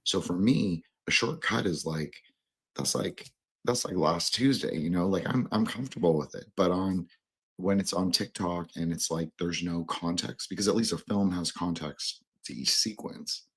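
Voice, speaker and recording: Male podcast host, low and husky; loose, halting, digressive conversational delivery. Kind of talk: casual, rambling discussion.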